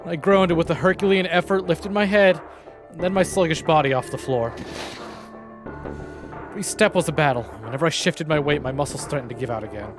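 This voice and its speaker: A man's voice: fairly high pitch, brisk. Man narrating a visual novel aloud. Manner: dramatic expressive narration. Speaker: streamer. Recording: game music playing behind.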